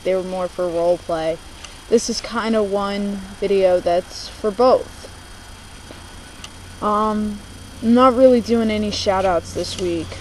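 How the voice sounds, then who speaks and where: Slow, hesitant young American woman. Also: medium pitch, darkish tone. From United States, born in New Jersey, moved to Virginia. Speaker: video blogger. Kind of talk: confessional casual vlog talk. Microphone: laptop microphone. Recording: noisy amateur laptop recording.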